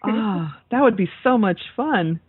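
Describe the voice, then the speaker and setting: A woman's voice: mid-range voice, lively intonation, measured pace, breathy edge, no echo. Emphatic woman podcaster on a phone-like line. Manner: emphatic, exclamatory, swears casually. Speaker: podcast co-host. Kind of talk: casual horror movie chat. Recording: narrow phone-like call audio, dry.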